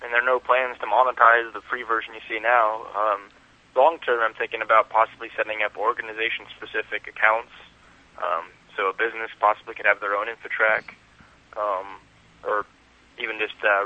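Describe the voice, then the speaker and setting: A man giving conversational interview answers as a guest, heard over a lo-fi telephone call. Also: lowish voice. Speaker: interview guest, website founder.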